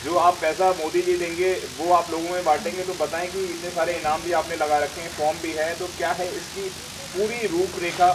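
A man's voice: level tone, brisk. A man, presenting and interviewing on camera with a handheld microphone. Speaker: news channel host and interviewer. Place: outdoors in front of banners. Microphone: handheld news microphone. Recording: noisy outdoor sound, vehicle rumble, hiss.

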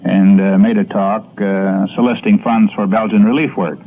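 A man's voice: fairly low pitch, flat delivery, normal speed, slight background noise. Older man reminiscing, thin phone-like sound. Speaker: former radio announcer. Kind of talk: recounting reminiscences. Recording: thin phone-like archival radio recording.

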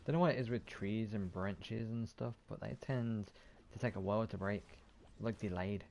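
A man's voice: fairly low pitch, moderate pace, creaky, darkish tone, slight background noise. A man giving casual, offhand gameplay commentary, muttering and mildly swearing to himself. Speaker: gaming YouTuber.

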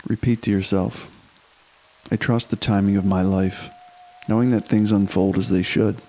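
A man leading a guided meditation, giving slow, unhurried instructions with long pauses. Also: lowish voice, fairly even intonation, mellow, low-quality recording.

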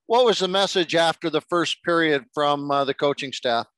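Male reporter, higher pitch, direct and concise, dry mic. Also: normal speed, a bit bright, no echo. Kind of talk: press-conference question.

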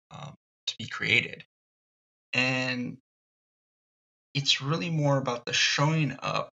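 Gravelly bright-toned man musing aloud. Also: medium pitch, muffled recording. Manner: thoughtful, halting, many ums and restarts. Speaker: podcast host. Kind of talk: reflective solo podcast monologue.

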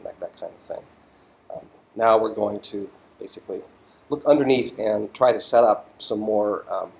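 A man's voice: on the low side, vocal fry, darkish tone. A middle-aged man giving a physics lecture as a voiceover to slides, thoughtful and full of ums and restarts.

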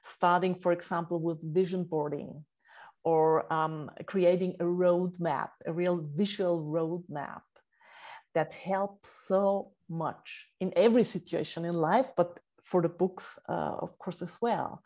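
A woman's voice: lowish voice, steady tone, a little slow, a little mellow. A middle-aged woman whose first language is German, explaining her book coaching earnestly in a podcast interview, with many fillers and restarts.

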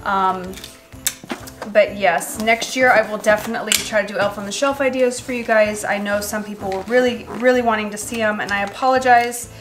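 A woman in her thirties with a stuffy, nasal voice from a cold, chatting casually and relaxed with many ums while she wraps gifts in her kitchen. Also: mid-range voice, fairly quick, music in the background. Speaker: lifestyle vlogger. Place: echoey home kitchen and living room.

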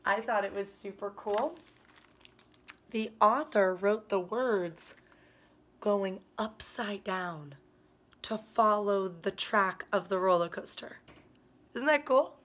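A woman in her thirties, a kindergarten teacher, reading a picture book aloud to children in an animated, expressive way. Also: medium pitch. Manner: animated, expressive, drawn-out emphasis on words. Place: small living room by a fireplace, indoors.

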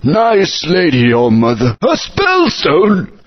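A man's voice: high voice, wildly swooping pitch, takes it slowly, exaggerated voice. An actor playing an old, fatherly dinosaur guardian in a video game, speaking in a grand, storytelling manner. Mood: wise. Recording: dull lo-fi game audio.

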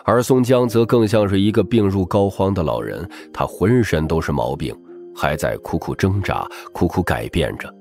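A man's voice: sits low, expressive, normal speed, a little mellow, faint music behind. A man narrating a novel as scripted audiobook storytelling, with a dramatic delivery.

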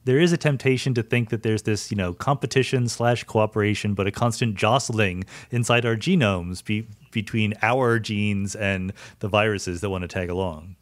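A curious male podcast host with a fairly low voice, musing through his questions in a casual interview. The sound is dry and close, with no room around it. He often restarts a phrase as he thinks it through. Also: normal speed, few pauses, dry recording. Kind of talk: conversational science interview.

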